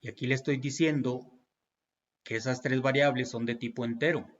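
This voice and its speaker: A man's voice: mid-range voice, steady tone, normal speed, dull audio. A man teaching programming in a tutorial, walking through each step patiently with fillers and self-corrections. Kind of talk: screen-recorded tutorial walkthrough.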